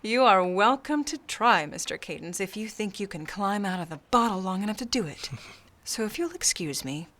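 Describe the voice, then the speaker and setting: A woman's voice: medium pitch, lively intonation, normal speed, fairly bright tone, lightly acted. An actress playing a poised, sly film star in her late twenties, a femme fatale in a noir radio play, speaking with cool, mock-polite taunts. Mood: mock-polite, disdainful.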